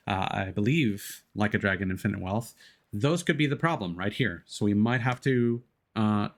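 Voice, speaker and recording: A young man streaming from home, chatting casually with viewers, rambling through fillers and restarts and swearing freely. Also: medium pitch. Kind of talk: casual live-stream chat.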